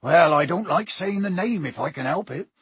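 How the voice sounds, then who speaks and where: A man voicing a gruff, warm-hearted giant in an audiobook, rough-voiced, with rustic, blustering and halting speech. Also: a little high, animated, dry recording, voice pushed up, full character voice. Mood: hesitant, grave. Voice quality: husky, rough.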